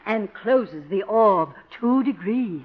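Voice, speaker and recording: A woman's voice: medium pitch, animated, takes it slowly. An actress playing a flirtatious, gushing middle-aged mother obsessed with astrology, in a 1950s radio comedy. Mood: earnest, dreamy. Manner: gushing, coy, giggly, airy. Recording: muffled, noisy 1950s radio recording.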